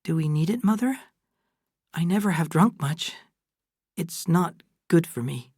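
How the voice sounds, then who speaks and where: A woman reading aloud and voicing a gentle, earnest young woman. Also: fairly low pitch, expressive, unhurried, slightly rough, no room sound, studio quality, pitched above the performer's own voice. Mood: hesitant.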